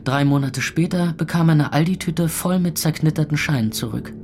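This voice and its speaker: An actor playing a weary, sardonic young club owner, narrating his own life in a deadpan way for a radio play. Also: fairly high pitch, a little fast, soft background music, lightly acted. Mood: tense, wry. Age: young adult. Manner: deadpan, dry.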